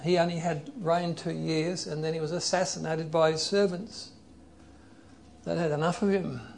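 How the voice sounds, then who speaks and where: A man preaching a sermon in an earnest, explanatory way, leading a prayer and reading scripture passages aloud slowly. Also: on the high side, vocal fry, a little mellow, slight background noise.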